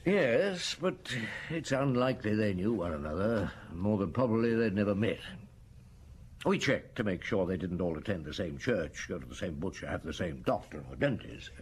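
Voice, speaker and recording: A methodical police inspector. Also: male voice, sits low, animated, moderate pace, a little hiss or hum. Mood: deliberate. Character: methodical middle-aged male police inspector. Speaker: detective. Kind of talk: radio drama acting.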